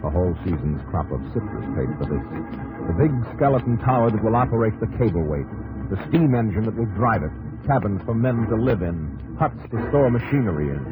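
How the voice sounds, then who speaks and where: Male narrator, lowish voice, theatrical and emphatic, 1950s radio drama over loud music. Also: very animated, a little fast, narrow, tinny audio. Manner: theatrical, clipped phrases, emphatic. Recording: old 1950s radio broadcast.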